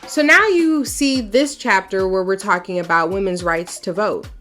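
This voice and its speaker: A woman reading a picture book aloud for children in an expressive, folksy storyteller's way, then talking to her young viewers. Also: mid-range voice, moderate pace, soft background music.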